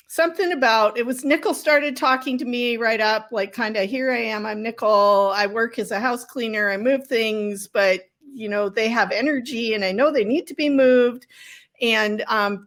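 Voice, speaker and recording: Female author interviewee, fairly high-pitched; casual storyteller, candid, self-deprecating. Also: a bit bright. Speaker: novelist being interviewed. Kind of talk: casual chat, storytelling about writing.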